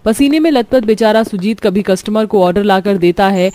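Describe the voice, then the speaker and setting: A woman's voice: mid-range voice, normal speed, warm tone. A woman giving scripted narration for a cartoon family story.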